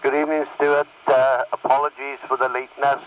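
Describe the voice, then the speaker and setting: A man's voice: mid-range voice, flat delivery, fairly quick. A man chatting casually as an amateur operator over CB radio. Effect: CB radio transmission. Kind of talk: casual radio net chat. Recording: noisy, distant CB radio signal.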